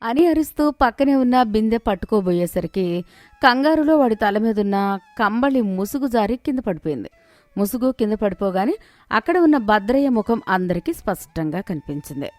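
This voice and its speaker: A woman's voice: medium pitch, expressive, normal speed, darkish tone, dry recording. A woman narrating a scripted moral story. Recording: dry studio, music underneath. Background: background music.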